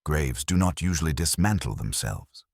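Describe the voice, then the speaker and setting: A man voicing a mild, unhurried police inspector with a quiet, measured, dryly witty delivery. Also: a bass voice, expressive, no echo, studio quality, full character voice.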